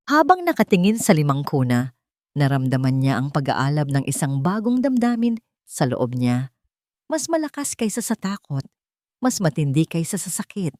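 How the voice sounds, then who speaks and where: Low-voiced woman narrating a dramatic love story, dry close sound. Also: a little mellow, no room sound.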